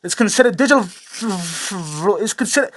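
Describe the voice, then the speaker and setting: A man's voice: high-pitched, expressive, measured pace, fairly bright tone, a little hiss or hum. A man hosting a satirical commentary show, ranting in a sarcastic, rambling way, swearing freely and amusing himself. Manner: sarcastic, rambling, self-amused, swears a lot. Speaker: host of a satirical commentary channel. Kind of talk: profane satirical rant.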